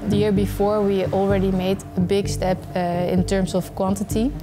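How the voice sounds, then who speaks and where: A woman's voice: medium pitch, level tone, moderate pace. A woman talking in an interview as a member of a sports team's staff. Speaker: cycling team staff member. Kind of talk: interview talk. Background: guitar music.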